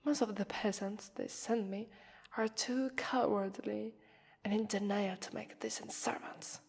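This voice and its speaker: A woman with an Italian accent voicing a haughty, taunting vampire mistress in an ASMR roleplay, breathy, condescending and often whispering. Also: mid-range voice, fairly even intonation, a little slow, a little mellow. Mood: predatory, deliberating. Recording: long reverberant echo.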